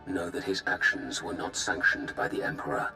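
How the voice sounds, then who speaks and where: Cold imperial villain, very low forceful voice, formal threats, game cutscene, echo, faint music. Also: male voice, moderate pace, full character voice. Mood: cold menace. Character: menacing male Sith Empire official delivering a formal warning. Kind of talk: video game cutscene acting.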